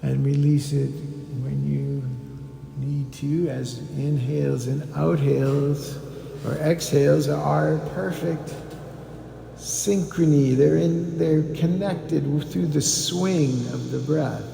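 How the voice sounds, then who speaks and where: A man guides a meditation in a mellow voice full of heavy creak. He speaks calmly and very slowly, leaving pauses between instructions. The recording rings with the long reverb of an echoey hall, with some background noise. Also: fairly high pitch. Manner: calm, deliberate instruction with pauses.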